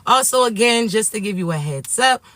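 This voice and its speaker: A man with a raspy voice, sniffly from allergies, delivering a spiritual reading straight to his viewers and checking in with them often. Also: sits high, lively intonation, normal speed, no room sound. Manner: warm affectionate address to viewers, repetitive "okay?" check-ins. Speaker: spiritual reader and coach. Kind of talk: direct-to-viewer spiritual message.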